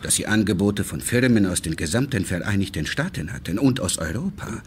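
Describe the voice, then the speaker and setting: An actor playing a suave, fatherly and controlling businessman in a radio crime drama, speaking deliberately and courteously with veiled menace. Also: sits low, animated, breathy edge, full character voice. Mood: knowing, controlled.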